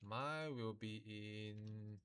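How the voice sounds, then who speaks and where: A man with a Malaysian or Singaporean accent gives an informal, step-by-step software tutorial, instructing in colloquial phrasing with sentence-final particles. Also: fairly low pitch, drawn-out words, slight background noise.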